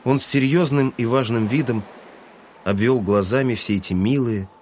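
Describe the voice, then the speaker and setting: A man's voice: mid-range voice, moderate pace, darkish tone, a little hiss or hum. A man reading scripted literary narration for an audio drama.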